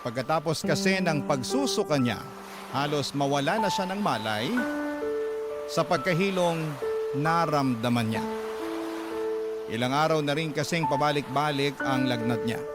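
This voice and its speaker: A Filipino man with a fairly high voice narrates a dramatic radio story in the first person. Loud music plays underneath his reading. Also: animated, unhurried.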